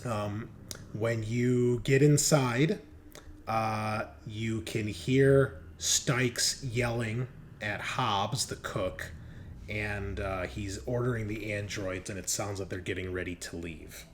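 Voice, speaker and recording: Low-voiced man running a tabletop game. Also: takes it slowly. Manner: conversational, colloquial, with some profanity. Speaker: game master. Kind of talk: improvised narration of a tabletop game. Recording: clear background noise.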